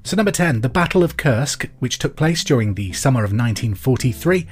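A man's voice: mid-range voice, lively intonation, brisk, husky, faint music behind. A man hosting a pub quiz podcast, reading trivia questions in an informal, chatty way and repeating them.

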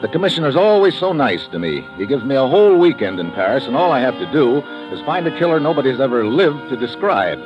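An actor playing a wry, hard-boiled American secret agent in an old radio drama. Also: male voice, mid-range voice, sing-song, fast, few pauses, natural voice. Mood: sardonic. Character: wry, tough male American secret agent narrating his own case. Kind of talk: 1950s radio drama acting. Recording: dull old radio recording, music behind.

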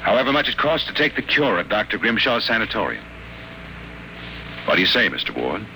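An actor playing a wry, hard-boiled private investigator, deadpan and wisecracking, in the noir style of old-time radio drama. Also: male voice, fairly low pitch, a little fast, slight background noise, exaggerated voice, rough recording. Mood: cool, confident. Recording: old radio recording with loud music.

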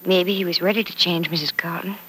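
An actress playing a modest, polite young fiancée in an old radio soap, earnest and a little hesitant. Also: female voice, fairly low pitch, fairly even intonation, brisk, fairly bright tone, breathy edge. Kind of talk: 1940s radio soap opera acting. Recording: old radio recording, faint hiss.

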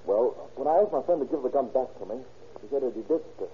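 An actor playing a nervous, evasive crook with slangy, ungrammatical speech in a classic radio police drama. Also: male voice, mid-range voice, expressive, fast, lightly acted. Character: nervous, cornered crook brother under police questioning. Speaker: narrator and actor. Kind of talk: 1930s-40s radio police drama acting. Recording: muffled, noisy old radio recording.

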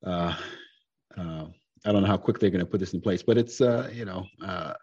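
A man with a very deep, husky voice, talking as a podcast co-host. His pace is loose and unhurried, thick with fillers. The sound is dull and dry, as if from a modest mic. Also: a little mellow, no room sound. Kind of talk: casual chat.

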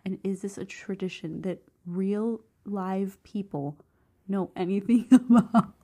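Girl half-whispering into her vlog, bit hoarse, mellow voice, gets loud when she's shocked. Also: mid-range voice, a little slow. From Cuban family background. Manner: hushed half-whisper to stay quiet; excitable, bursts of loud disbelief. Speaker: vlogger. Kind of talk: casual chat, reading quiz questions aloud.

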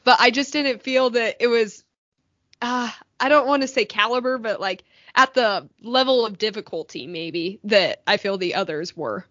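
A woman's voice: a little high, normal speed, pushed and bright, no room sound, highs cut off. A woman co-hosting a hockey podcast as its analyst, talking earnestly and enthusiastically about plays. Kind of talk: casual podcast discussion.

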